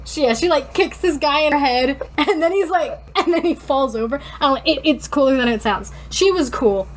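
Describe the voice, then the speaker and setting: A woman co-hosting a podcast in casual banter, talking in a giggly, expressive, rambling way. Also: high-pitched, brisk, a little hiss or hum. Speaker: podcast co-host.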